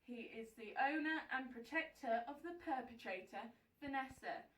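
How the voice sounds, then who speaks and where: A woman acting a solemn courtroom advocate in a theatrical monologue, presenting in a measured, formal manner. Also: sits high, fairly bright tone. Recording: roomy echo, distant microphone.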